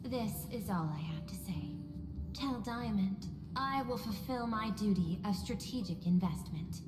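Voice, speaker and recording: A woman playing a coolly logical female machine steward in a video game, speaking formally and precisely with measured detachment. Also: medium pitch, animated, lightly acted. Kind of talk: scripted video game voice acting. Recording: echoey hall reverb, music behind.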